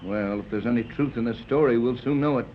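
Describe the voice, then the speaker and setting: An actor playing a steady, easygoing army captain in a 1930s radio serial, matter-of-fact and reassuring. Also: male voice, medium pitch, fast talker, natural voice. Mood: calm, cautious. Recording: dull, noisy 1930s radio recording.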